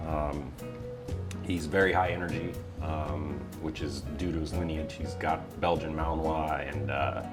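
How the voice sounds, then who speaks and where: A very low-pitched American man talks casually as an interview guest. He is wry and quick to poke fun at himself, and guitar music plays clearly behind him. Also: flat delivery. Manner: self-deprecating, wry. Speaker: interview guest, office voiceover talent.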